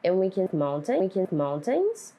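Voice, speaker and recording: A woman teacher reading a picture book aloud to children, speaking deliberately and putting simple questions to them. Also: lowish voice, sing-song, muffled recording. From Brazil.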